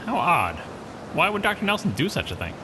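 A man's voice: a little high, huge pitch swings, background noise. An actor playing a curious, eager male crewman on an undersea rescue team in an audio drama. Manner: quick, curious, questioning.

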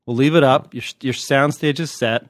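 A man's voice: medium pitch, steady tone, moderate pace, no room sound, studio quality. An American man chatting casually and wryly as a podcast host and TV critic. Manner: wry, joking.

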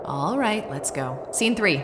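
A woman directing a comic rehearsal in a brisk, commanding manner. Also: on the low side, expressive. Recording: reverberant, noisy group recording.

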